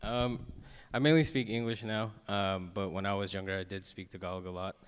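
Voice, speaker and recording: A man from the Philippines with a low, smooth voice answers questions on a school stage, hesitant and filling gaps with ums. The handheld mic carries long hall reverb. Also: fairly even intonation, a little fast. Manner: hesitant, many ums, soft laughs. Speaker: teacher, club sponsor.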